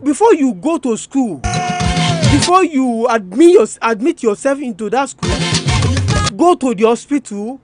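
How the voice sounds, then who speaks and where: Very high-pitched Nigerian Yoruba-accented man lecturing. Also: expressive, measured pace, music in the background. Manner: didactic, repeats key terms, asks rhetorical questions; switches between Yoruba and English. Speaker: radio presenter. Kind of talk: educational radio talk, explanatory.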